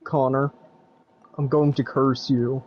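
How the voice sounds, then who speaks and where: A man's voice: mid-range voice, flat delivery, slow pace, highs cut off. A young man in casual chat, teasing a friend with juvenile jokes while watching a game. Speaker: gamer's friend watching play. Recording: faint game music behind.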